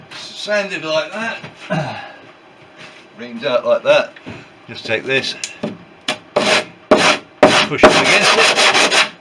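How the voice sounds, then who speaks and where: An older man with a croaky voice from a cold, narrating his build in a home workshop with many pauses and fillers. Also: mid-range voice, background noise. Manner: relaxed, hesitant with many fillers. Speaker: aircraft builder.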